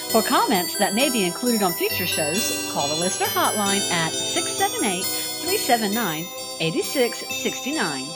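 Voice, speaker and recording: A woman announcer reads a show's closing credits in a clear, lively delivery, her pitch moving a lot from line to line. Her voice sits at an ordinary height for a woman. Loud theme music plays under her. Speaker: show announcer. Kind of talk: scripted closing credits read.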